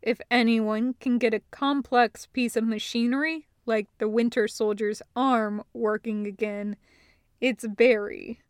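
A woman reading fiction aloud as an audiobook narrator, in a measured, scripted style. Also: fairly high pitch, fairly even intonation, dry recording, clean studio sound.

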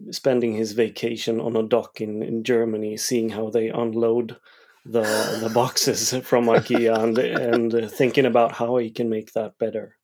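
A man's voice: mid-range voice, flat delivery, normal speed, a little mellow. A man talks easygoing and casual on a podcast, with a mid-range voice and short, laid-back answers. He keeps the chat light with the odd laugh.

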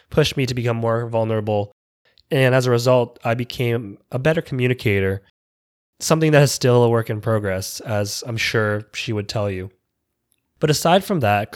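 Earnest man in his thirties. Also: mid-range voice. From Canada. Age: 30s. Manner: earnest and reflective, with small restarts. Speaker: educator giving a personal talk. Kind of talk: prepared personal speech.

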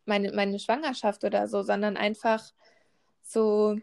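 A woman's voice: mid-range voice, flat delivery, unhurried, creaky, a little mellow. A pregnant woman in her twenties in a casual chat, talking with lots of fillers and restarts. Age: 20s. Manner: chatty, many fillers and restarts.

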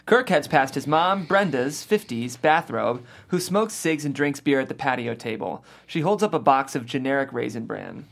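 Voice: medium pitch, lively intonation, moderate pace, creaky, hardly any pauses. A narrator reading stage directions from a comedy script at a table read.

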